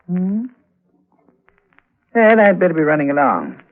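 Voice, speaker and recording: A man's voice: high-pitched, huge pitch swings, unhurried, full character voice. An actor playing a sly, smug aging male blackmailer in a vintage radio thriller, terse and insinuating. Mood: sly, menacing. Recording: tinny old radio recording, music behind.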